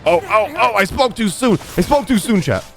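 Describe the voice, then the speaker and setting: A man's voice: high-pitched, lively intonation, normal speed, gravelly, faint music behind. A young man giving casual, slangy, joking live reactions to a game into a close mic. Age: young adult. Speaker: streamer. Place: indoor streaming room at home. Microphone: close microphone on arm.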